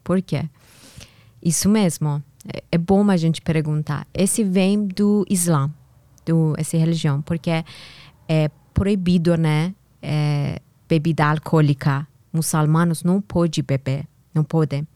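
A woman from Iran with a strong Persian accent, a podcast guest answering questions haltingly in a language not her first. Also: low voice, fairly even intonation, dark tone, dry recording. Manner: halting, searching for words. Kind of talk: casual interview answers.

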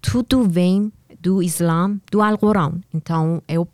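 A woman from Iran with a strong Persian accent, a podcast guest answering questions haltingly in a language not her first. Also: on the low side, fairly even intonation, darkish tone, dry recording, clean studio sound. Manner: halting, searching for words. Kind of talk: casual interview answers.

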